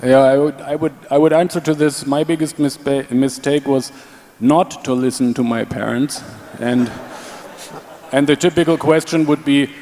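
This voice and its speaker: A man's voice: mid-range voice, fairly even intonation, moderate pace, darkish tone. A man speaking on a conference panel with a dry, joking delivery. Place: large conference hall. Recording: reverberant conference hall.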